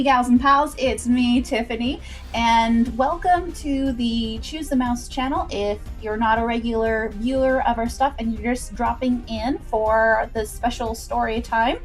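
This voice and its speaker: Higher-voiced woman chatting on a livestream. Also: moderate pace. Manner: chatty, informal, greets viewers in asides. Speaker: livestream host and storyteller. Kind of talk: casual livestream chat and story recap. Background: background music.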